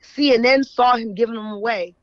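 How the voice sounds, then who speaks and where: A woman's voice: a little high, a little fast, no echo. American woman in dull, lo-fi audio. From Philadelphia, USA. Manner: conversational, often checks in with "right?". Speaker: interview guest, author and editor. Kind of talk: casual storytelling in an interview.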